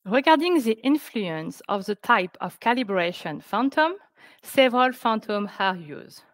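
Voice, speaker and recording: A woman presenting scientific results on a webinar, speaking careful, halting English. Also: on the high side, lively intonation, dry recording. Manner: careful, halting non-native English delivery. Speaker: researcher presenting project results. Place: indoors on a video call.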